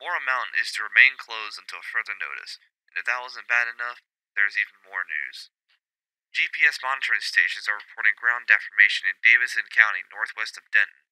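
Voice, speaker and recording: A man's voice: on the low side, lively intonation, a little fast, bright, forceful tone, clean tone, no echo, poor audio. A man playing a grave news anchor reading a scripted bulletin about a growing disaster. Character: male news anchor gravely reporting volcanic threat. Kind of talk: scripted newscast acting.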